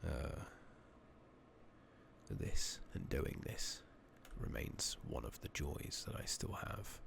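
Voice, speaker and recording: A soft-spoken man, partly whispering in an ASMR game playthrough style, speaking haltingly with long pauses into a close microphone. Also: rumbling low, gravelly. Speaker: game streamer, ASMR host. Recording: steady noise, keyboard typing and clicking.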